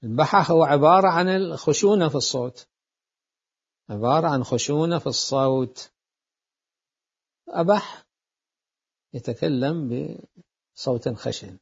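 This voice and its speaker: A man giving a deliberate jurisprudence lecture, repeating phrases as he explains. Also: on the high side, husky. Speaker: seminary jurisprudence teacher. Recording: dull lo-fi lecture recording.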